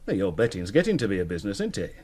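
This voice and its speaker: Radio drama: coaxing middle-aged Northern English workmate, husky, very animated intonation, colloquial. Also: male voice, fairly low pitch, racing, exaggerated voice. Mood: chummy, probing. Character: Roy, working-class man coaxing a young punter into business. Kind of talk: naturalistic radio drama dialogue.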